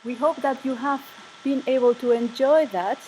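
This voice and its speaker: A woman's voice: high voice, normal speed, mellow, slight background noise, rough recording. A woman from Spain with a Spanish accent, presenting a live online session for a music ensemble. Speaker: ensemble member presenting a livestream. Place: room at a music conservatory.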